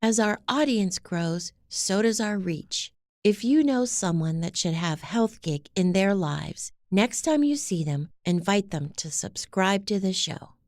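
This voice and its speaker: A woman's voice: mid-range voice, lively intonation, measured pace, a little mellow, no echo. Woman podcast host, dry close sound. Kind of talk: scripted show intro and interview questions.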